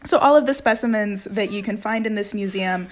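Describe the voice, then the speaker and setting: A woman's voice: mid-range voice, fairly quick. A woman co-hosting a casual podcast, telling a fact with enthusiasm, frequent laughs and fillers. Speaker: podcast co-host presenting a fact.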